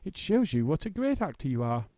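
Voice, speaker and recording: Guy doing a sweet young actress lady, high and fond. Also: very animated, a little fast, dark tone, no room sound. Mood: affectionate. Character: warm, amused young actress woman.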